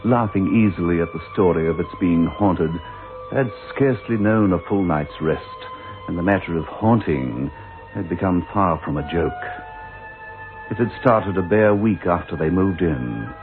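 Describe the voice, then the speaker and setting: An actor playing a measured, wry narrator in a radio drama. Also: male voice, low voice, expressive. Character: measured, wry storyteller of a ghost tale. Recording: phone-like old radio broadcast. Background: music.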